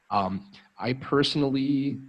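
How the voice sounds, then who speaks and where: A man's voice: medium pitch, lo-fi sound. An American man hosting a live stream as an English tutor, chatting in a friendly, upbeat way with frequent ums. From United States. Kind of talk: casual live-stream chat.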